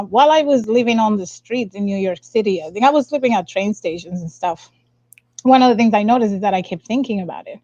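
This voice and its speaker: A woman's voice: mid-range voice, darkish tone, dry recording. Young woman on a muffled video call. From Dominican Republic. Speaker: interview guest, science communicator. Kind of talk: casual personal storytelling. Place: indoor room, on a video call. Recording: dull lo-fi video call audio.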